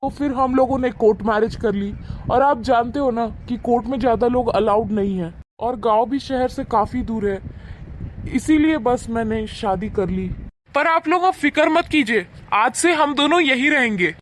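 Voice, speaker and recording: A man voicing a devoted adult son, earnest and protective of his family, in an animated moral story. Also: very high-pitched, normal speed, slight background noise. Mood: apologetic.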